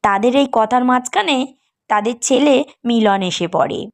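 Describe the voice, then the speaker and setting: A woman with a fairly high voice narrates a scripted cartoon story. She serves as the storyteller linking the scenes of a family drama. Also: normal speed.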